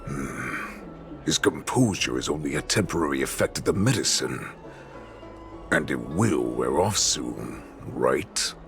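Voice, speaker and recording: Super deep ancient wolf warlord for a game, slow mocking growl, music behind. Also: male voice, animated, vocal fry, fairly bright tone, exaggerated voice. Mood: cold, probing. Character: ancient wolfish beast warlord, menacing, contemptuous, commanding. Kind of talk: dramatic video game voice acting.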